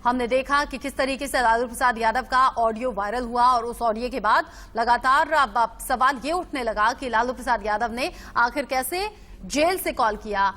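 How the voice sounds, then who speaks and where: A woman's voice: on the high side, normal speed, sharp tone. A woman presenting television news as the studio anchor.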